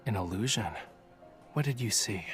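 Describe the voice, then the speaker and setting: A man plays a young artist from a romance game, teasing and secretive. His lowish voice stays nonchalant and coy, with soft music playing faintly behind it. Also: normal speed, lightly acted. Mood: musing, coy. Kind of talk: English-dubbed romance game voice acting.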